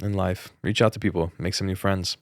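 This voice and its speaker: Fast-talking blunt young man, low voice. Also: steady tone, no room sound, studio quality. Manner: blunt, argumentative, sweary. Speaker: podcast co-host. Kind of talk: casual bantering debate.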